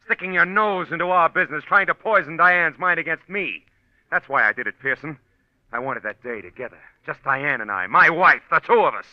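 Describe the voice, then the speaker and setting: An actor playing an evasive American husband under suspicion in a 1940s radio drama, halting and indignant as he justifies himself. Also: a little high, no echo, close to an everyday voice. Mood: defiant, indignant. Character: evasive, defensive husband suspected of murdering his wife. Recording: muffled old radio recording.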